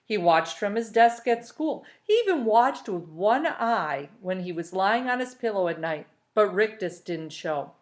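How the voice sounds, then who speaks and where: A woman reading a storybook aloud as a teacher, in an expressive narrating style. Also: mid-range voice, measured pace, hardly any pauses.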